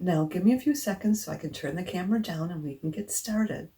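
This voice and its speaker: A woman's voice: on the low side, a little fast, warm tone, breathy edge. A woman with a slightly scratchy voice from a cold, patiently explaining each step of a crafting tutorial as a craft demonstrator.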